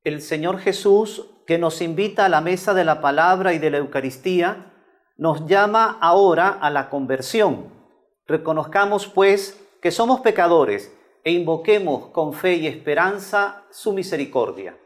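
Older priest, slightly high voice, praying solemnly. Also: male voice, lively intonation, a little slow. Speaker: priest celebrating Mass. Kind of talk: liturgical prayer. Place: small indoor chapel.

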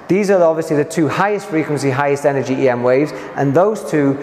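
Higher-pitched male teacher in an echoey room. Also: a little fast, a little mellow. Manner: emphatic, repeats key points. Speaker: physics teacher. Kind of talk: classroom lesson, explaining to students. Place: indoor classroom beside a presentation screen. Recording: echoey room, clear background noise.

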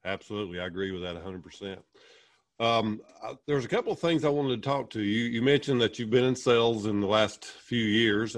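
A man in his forties hosting a podcast interview over a video call, upbeat in his intro and relaxed when asking questions. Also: lowish voice. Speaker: podcast host. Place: small indoor room.

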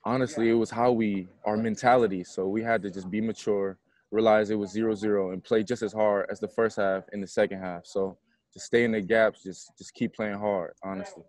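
A young man with a fairly low voice speaks as a college athlete after a game. He answers in an informal, plain-spoken way, talking into an earphone mic on a video call. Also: fairly even intonation, a little fast, a little mellow, no room sound. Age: young adult. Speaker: college basketball player. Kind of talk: post-game press conference answers. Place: indoor basketball arena, courtside. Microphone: earphone headset on a video call.

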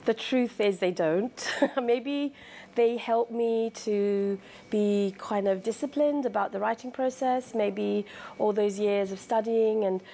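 Woman, middle-aged, London British accent, author, measured, composed, average pitch. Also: gravelly, dark tone. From born in Sri Lanka, grew up in London. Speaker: author guest. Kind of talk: reading aloud from a novel, then interview talk. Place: indoor room, seated.

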